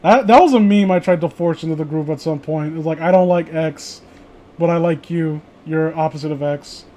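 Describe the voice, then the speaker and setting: A man with a notably high voice talks casually as a streamer commenting on what he reads. He stammers and restarts, sounding exasperated and swearing freely. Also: fairly quick, mellow. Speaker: streamer reading creepypasta with friends. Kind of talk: casual commentary and banter on a read-aloud.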